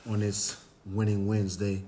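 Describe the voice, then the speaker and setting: Raspy upbeat man hosting a live stream. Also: fairly low pitch, completely flat delivery, normal speed, dark tone, slight background noise. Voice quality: raspy, hoarse. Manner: upbeat motivational, frequent "um" and "you know". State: hoarse from cheering at a game. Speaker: host of a Facebook Live motivational show. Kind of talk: live-stream talk, greeting viewers by name. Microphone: live stream, phone or webcam.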